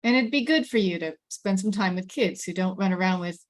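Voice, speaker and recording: A woman reading the part of a firm, reasoning father in a screenplay table read, in a measured manner. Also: medium pitch, natural voice.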